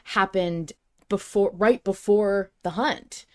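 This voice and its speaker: Casting: female podcast host, medium pitch, effusive and informal, chatting as a devoted fan. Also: slow. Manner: effusive, gushing. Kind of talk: casual fan chat.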